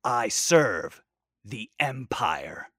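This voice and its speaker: A man's voice: on the low side, big pitch swings, drawn-out words, a bit bright, dry recording, clean studio sound, heavily acted. A man playing a proud, defiant Imperial general in an audio drama, pompous and indignant. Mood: proud defiance.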